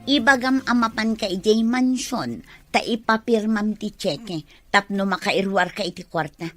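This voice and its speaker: A woman's voice: mid-range voice, a little slow, soft background music, lightly acted. A woman with an Ilocano Filipino accent playing a greedy, conniving accomplice in a radio drama.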